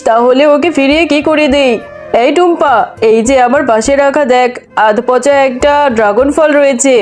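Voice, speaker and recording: A woman's voice: sits high, a little mellow, natural voice. A woman voicing a haughty, stingy neighbour mother with a condescending manner in a children's cartoon story. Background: music.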